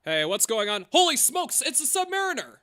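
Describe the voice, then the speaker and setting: A man plays an eager young comic-book superhero in a dub. The voice is high and bright, bouncing around in pitch with exclamatory energy. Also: huge pitch swings, a little fast, heavily acted. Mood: eager, excited.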